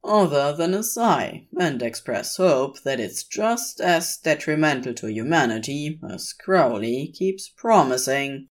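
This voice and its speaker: A woman's voice: deep voice, lively intonation, slow pace, few pauses. A woman reading scripted fiction aloud as a podfic narrator.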